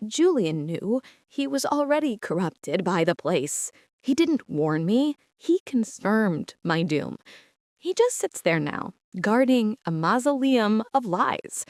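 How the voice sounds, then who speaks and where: A woman performs a traumatized archivist who broods over her buried past in a horror audio drama. She speaks very slowly and deliberately, at a middling pitch for a woman. The sound is dry and close, with a meticulous, haunted feel to every phrase. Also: big pitch swings, a little mellow, no echo. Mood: uneasy, brooding. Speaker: narrator and lead character of an audio drama. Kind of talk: dramatic first-person horror narration.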